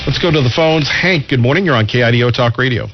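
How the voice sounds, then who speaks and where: A man's voice: medium pitch, quick pace, music in the background. A man with an American accent hosting a morning talk radio show. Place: radio studio. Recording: muffled shortwave radio rebroadcast.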